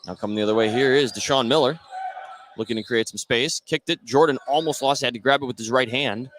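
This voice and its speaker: A man's voice: medium pitch, fast talker, a bit bright. An American man doing live play-by-play radio commentary of a basketball game. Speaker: play-by-play announcer. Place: indoor high school basketball gym. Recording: live gym noise behind the voice. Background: gym ambience, bouncing basketball.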